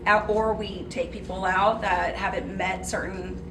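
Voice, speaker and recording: A woman's voice: mid-range voice, fairly even intonation, unhurried, background noise. Eager woman explaining, distant echoey room. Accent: South Texas. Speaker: dance team choreographer and trainer. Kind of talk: casual interview.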